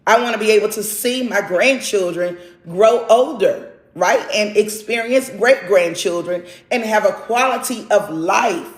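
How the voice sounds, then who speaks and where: Earnest woman in her forties, echoey room. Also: mid-range voice. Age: 40s. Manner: keeps checking in with a questioning "right?"; earnest, faith-driven encouragement. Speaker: motivational livestream host. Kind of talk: casual motivational monologue.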